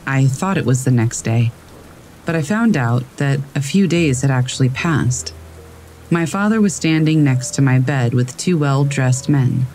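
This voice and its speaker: A man calmly reading scary stories aloud as a narrator, in a steady storytelling manner. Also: mid-range voice, slight background noise, low-quality recording. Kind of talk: scripted narration of reader submissions. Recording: faint rain in the background. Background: rain.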